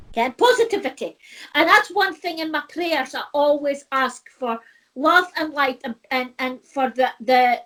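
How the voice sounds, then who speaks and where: A middle-aged woman chats easily, with a high voice that swoops up and down. She laughs often and circles back over her own words. Her talk is loose and friendly. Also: low-quality recording. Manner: chatty, laughs often, repeats herself. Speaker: co-host. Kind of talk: casual chat.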